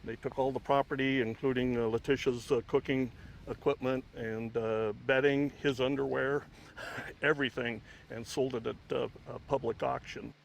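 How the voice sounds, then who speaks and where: A man giving a casual, halting, plainspoken explanation as a documentary interviewee. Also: mid-range voice, little pitch movement, vocal fry.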